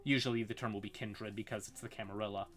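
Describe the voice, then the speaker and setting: A man giving an informal livestream explainer on fantasy lore, halting and wry, with casual asides to his chat. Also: fairly low pitch, steady tone, fairly bright tone.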